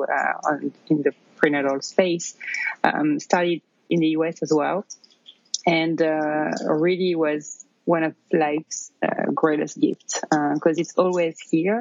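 A woman in her thirties from France with a French accent, telling her story in a casual interview, halting with many fillers. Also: deep voice, dry recording, muffled recording. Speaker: interview guest.

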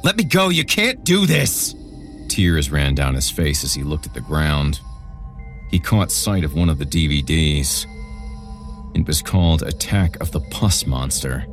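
A man narrating a scripted horror story in a dramatic, deliberate storytelling manner. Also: extremely low pitch, faint music behind.

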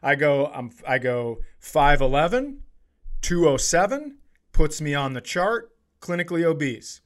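Higher-pitched man in his forties, joking. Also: very animated, measured pace. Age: 40s. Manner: teasing, self-deprecating, swearing. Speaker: podcast co-host, comedian. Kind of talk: casual comedic banter and storytelling.

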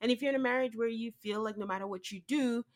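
A woman co-hosting a podcast, nasal and congested from a cold, chatting casually with quick asides and laughs. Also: fairly high pitch. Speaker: podcast co-host. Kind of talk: casual chat.

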